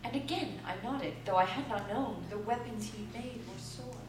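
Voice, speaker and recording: A woman reading literary fiction aloud as a dramatic, deliberate stage narration in a theatre. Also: on the high side. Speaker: stage reader. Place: live theatre performance. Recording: echoey theatre, distant mic, noisy.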